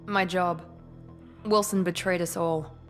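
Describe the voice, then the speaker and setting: A woman's voice: fairly low pitch, fairly even intonation, takes it slowly. A woman playing a cool, ruthless project director in a video game, with a blunt, haughty, clipped delivery. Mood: cold, matter-of-fact. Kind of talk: video game cutscene acting. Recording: loud background music.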